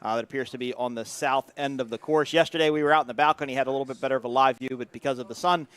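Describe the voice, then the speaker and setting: Fast-talking male race commentator. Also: mid-range voice, steady tone, fairly bright tone. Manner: upbeat, rapid play-by-play delivery. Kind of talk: live sports commentary. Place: indoor broadcast booth.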